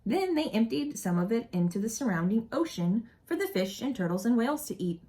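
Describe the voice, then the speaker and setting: A woman reading a children's picture book aloud expressively, with deliberate phrasing and asides for young listeners. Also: fairly high pitch, sing-song, mellow.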